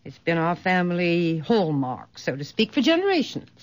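A woman's voice: on the low side, very animated, normal speed. An actress playing a proper, stern older mother of a family in a radio drama. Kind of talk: vintage radio drama acting. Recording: dull, lo-fi old radio recording.

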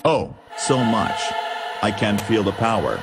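A man's voice: on the low side, big pitch swings, unhurried, over loud music. A man playing an awkward musician in a comedy audio drama, talking in casual, dry banter. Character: awkward, married male musician in comeback band. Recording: clearly noisy background ambience.

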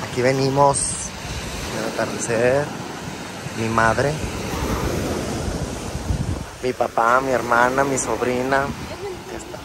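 A young man chatting casually to his viewers as he films himself at a beach resort. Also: medium pitch. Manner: chatty, relaxed, addresses viewers as friends. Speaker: travel vlogger. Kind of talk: casual travel vlog narration. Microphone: phone camera at arm's length. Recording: wind and sea noise outdoors.

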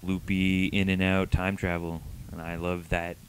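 A man's voice: low-pitched, monotone, unhurried, slight background noise. A young man co-hosting a podcast, chatting casually and enthusiastically into a handheld microphone. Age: young adult. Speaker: podcast co-host, reviewer. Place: small room, indoors.

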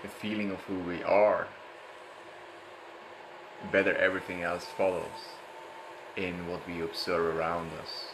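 A man leading an improvised guided meditation in a halting way, repeating words and drawing out phrases. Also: sits low, flat delivery. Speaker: meditation guide, host of a live session. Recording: echoey room, clear background noise.

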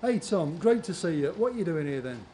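A man's voice: fairly high pitch, very animated, fairly quick, mellow, slight background noise. A man playing a sociable nightclub mate in an amateur radio play read aloud. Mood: friendly. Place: large conference room before an audience.

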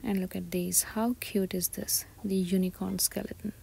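A woman shopping vlogger giving soft, breathy, often half-whispered ASMR-style commentary as she describes items one by one inside a store. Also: medium pitch, fairly even intonation, normal speed. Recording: store ambience noise behind soft voice.

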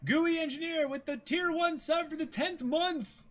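A man's voice: very high voice, a little slow, very noisy. A young man co-hosting a stream, chatting casually and reading things out. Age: young adult. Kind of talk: casual chat, reading out viewer messages. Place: small indoor streaming setup.

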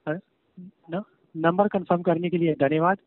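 A fairly high-voiced man talks over a thin, tinny telephone line. He sounds like a call-centre agent reading a script, formal and repetitive, with a "sir" in nearly every sentence. The sound is dry. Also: steady tone, moderate pace, dry recording. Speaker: phone scam caller posing as courier agent. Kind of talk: scripted call-centre customer service talk. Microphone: phone call. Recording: tinny narrowband phone line.

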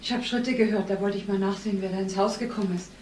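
A woman's voice: medium pitch, fairly even intonation, fast talker, darkish tone, close to an everyday voice, poor audio. Actress as guarded, polite married woman. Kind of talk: German radio crime drama acting. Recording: faint background noise.

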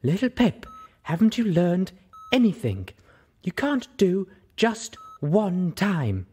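A man voicing a sharp-tongued female pony companion, cross, scolding and demanding. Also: high-pitched, very animated, slow pace, breathy edge, voice pushed up, heavily acted. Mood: seething.

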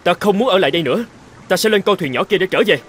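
A man's voice: high voice, lively intonation, rapid-fire, a bit bright, slight background noise. A man voicing an earnest captive island chieftain in a cartoon fairy tale, protesting and pleading. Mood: alarmed, protesting. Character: brave adult male island chieftain held captive.

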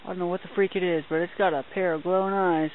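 A man's voice: high-pitched, fast. Young man narrating a night hike. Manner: excited, chatty, mild minced oaths. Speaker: hiking vlogger. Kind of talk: hushed running commentary while filming. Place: outdoors at night on a trail. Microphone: handheld camera mic. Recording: tinny lo-fi camera audio with hiss.

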